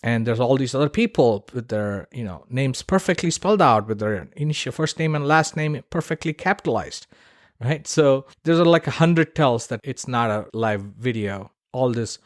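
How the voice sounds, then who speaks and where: Casting: male solo podcaster, medium pitch, very dry recording, informal and opinionated, punches key points bluntly. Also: lively intonation, moderate pace, dry recording. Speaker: podcast host.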